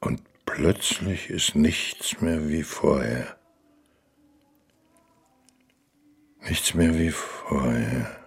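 An actor in a radio drama playing an ageing, bitter former opera star in his eighties, gravelly and breathy, theatrical and declamatory, often muttering to himself. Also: a bass voice, slow pace, faint music behind, heavily acted. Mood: anguished, breathless. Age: 80s. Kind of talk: radio play acting.

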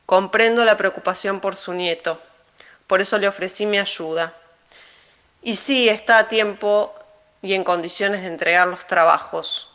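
An actress playing a formal, firm schoolteacher in a radio drama, speaking in a composed and pointed manner. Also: female voice, mid-range voice, measured pace, a bit bright, natural voice. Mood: firm, polite. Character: formal, firm schoolteacher woman rebuffing a complaint.

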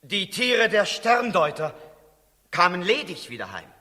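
High-voiced, deferential palace messenger. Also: male voice, very animated, unhurried, bright, forceful tone, full character voice. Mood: anxious, dutiful. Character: deferential palace servant reporting to his king. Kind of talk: 1960s German radio drama acting. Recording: 1960s radio recording, long hall reverb.

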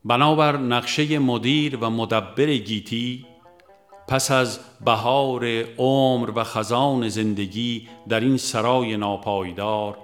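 Man reading devotional prose over music. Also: fairly low pitch. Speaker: audiobook reader. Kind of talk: scripted devotional narration.

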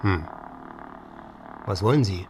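An actor playing a brusque, sardonic army officer and pilot in a radio crime drama, with a dry, challenging way of talking. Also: male voice, low-pitched, lively intonation, slow. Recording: loud vehicle engine noise around the voice.

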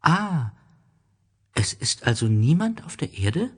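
A man voicing a gentle, wistful little boy prince in a storybook, with earnest, questioning delivery. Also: a little high, sing-song, slow, husky, voice pushed up, heavily acted. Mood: curious. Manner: earnest, questioning, raised lilting voice. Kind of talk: storybook character voice.

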